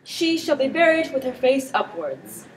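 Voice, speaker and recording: Excitable Shakespearean prince, played by a student girl, highish voice, amateur stage verse, off-mic with faint noise. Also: measured pace, full character voice. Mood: playful teasing. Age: teenager. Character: teasing, excitable prince, a grown nobleman. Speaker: student performer. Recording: distant mic with background noise.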